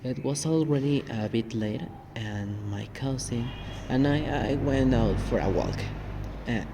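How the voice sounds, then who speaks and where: A young Mexican man speaks English with a Spanish accent and a middling pitch, filling his sentences with halting "uh" pauses as he tells a tale. The recording is thick with steady background noise. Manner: halting, many "uh" fillers. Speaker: podcast co-host. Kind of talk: casual storytelling chat.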